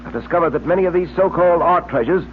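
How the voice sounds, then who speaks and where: A man's voice: on the high side, fast talker, close to an everyday voice. Guy acting as old time radio cop hero, earnest and determined, tinny phone-like sound w/ lots of noise. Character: resolute square-jawed male police detective hero. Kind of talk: 1940s radio serial acting. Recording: noisy, band-limited old radio recording.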